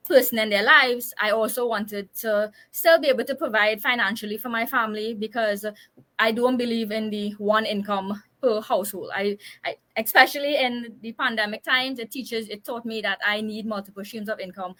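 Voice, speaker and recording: A woman's voice: medium pitch, bright, forceful tone. A Trinidadian woman in her thirties speaking as a guest in a casual live chat, chatty and explanatory. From Trinidad and Tobago. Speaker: interview guest, business owner.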